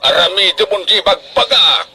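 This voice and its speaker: A man's voice: sits high, expressive, a little slow, crowd noise. A man playing a strict, worrying middle-aged father in an Ilocano radio drama, with an emphatic, scolding manner. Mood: caring. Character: strict, worrying Filipino father of a student daughter.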